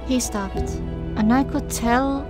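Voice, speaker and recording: A woman reading first-person dramatic audiobook narration, with expressive, deliberate phrasing and pauses. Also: high-pitched. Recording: music behind the voice.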